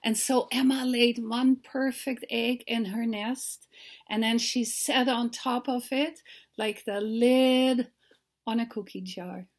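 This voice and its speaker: Older lady doing storytime for kids, takes it really slow, asks the listeners questions. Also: fairly high pitch, fairly even intonation. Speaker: children's author reading aloud. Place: book-lined home study.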